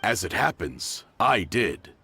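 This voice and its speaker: An actor playing a helpful ordinary townsman in a game, with a husky voice. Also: low voice, very animated, slow, sharp tone, full character voice. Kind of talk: acted game dialogue.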